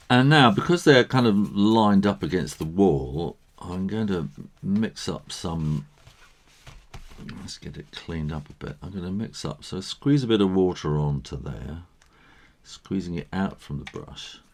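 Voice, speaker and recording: A man with a tired, croaky voice, thinking aloud with soft chuckles as he teaches drawing and painting. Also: sits low, animated, measured pace. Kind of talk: casual drawing tutorial narration.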